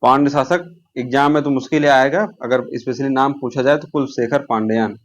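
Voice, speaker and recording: A man's voice: medium pitch, fairly even intonation, a little fast. A man teaching an informal exam-prep lesson, posing questions, answering them himself and repeating key terms.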